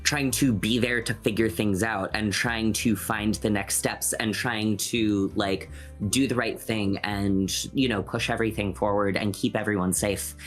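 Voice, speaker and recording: A man's voice: lowish voice, fairly even intonation, a little slow, fairly bright tone. Thoughtful, analytical man in casual discussion. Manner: thoughtful, analytical, hedging with many asides. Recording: background music under the voice.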